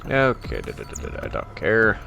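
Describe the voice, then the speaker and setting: A man's voice: fairly low pitch, wildly swooping pitch, fairly quick, gravelly, pushed and bright. A man hosting a gaming video, giving casual, rambling commentary as he plays. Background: faint game music.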